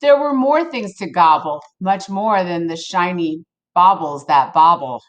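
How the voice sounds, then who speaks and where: A woman reading a picture book aloud to children in an expressive, playful way, with casual asides to her listeners. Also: lowish voice, measured pace. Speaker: storybook reader for children.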